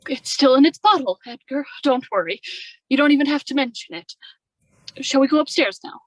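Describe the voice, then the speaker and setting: A woman's voice: high-pitched, lively intonation, fairly quick, no echo, low-quality recording. A woman playing a young widow in a radio drama, anxious and hesitant, defensive beneath her composure. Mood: guarded calm.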